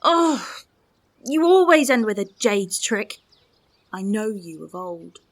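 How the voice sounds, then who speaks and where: A woman's voice: mid-range voice, very animated, slow, a little hiss or hum. A woman playing a witty, scornful young noblewoman in a Shakespearean radio play. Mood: mocking, amused. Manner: witty, scornful, teasing delivery with laughter. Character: sharp-tongued, mocking, spirited young noblewoman.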